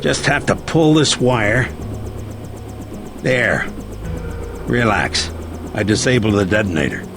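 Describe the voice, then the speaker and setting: An actor playing a brisk, dependable helicopter pilot in a video game. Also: male voice, on the low side, very animated, music in the background, full character voice. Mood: urgent. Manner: brisk, matter-of-fact.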